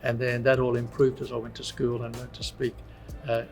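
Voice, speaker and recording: An older man recalls his childhood in an easy, reminiscing way, his voice sitting at a middle pitch. Soft music plays faintly underneath him. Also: monotone, fairly quick, low-quality recording. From Australia. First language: Polish. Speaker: interviewee. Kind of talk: reflective personal storytelling. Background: faint background music.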